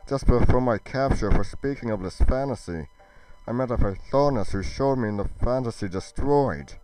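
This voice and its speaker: Man playing an earnest, clear-voiced young guard. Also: medium pitch, moderate pace, dark tone, dry recording, distant mic, faint music behind, natural voice, rough recording. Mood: awed, earnest. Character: earnest, devoted young male guard and visionary.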